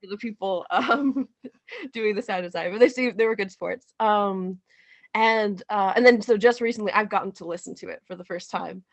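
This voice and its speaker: A woman giving a casual interview as a playwright, speaking haltingly and thinking aloud. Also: medium pitch, creaky, dry recording. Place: remote video call.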